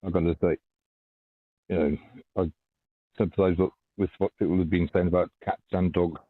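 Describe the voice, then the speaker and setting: Brief: bassy, mumbling man over a tinny, phone-like headset line, dry sound. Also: fairly even intonation, normal speed, no echo. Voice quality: bassy, muffled voice. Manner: mumbling, wry. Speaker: guest on a live stream. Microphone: headset used for phone calls. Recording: muffled phone-like headset audio.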